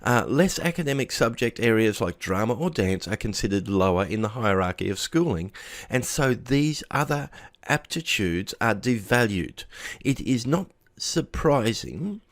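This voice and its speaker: A man's voice: medium pitch, lively intonation, a little slow, dry recording. A man reading a scripted article aloud, sometimes stumbling over a word and rereading it. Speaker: article reader.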